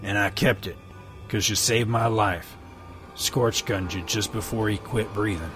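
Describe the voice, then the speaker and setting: A man voicing a gruff, scarred bounty hunter of the old West with terse, drawling frontier talk. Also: fairly low pitch, measured pace, a bit bright, soft background music, voice pushed down. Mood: hard.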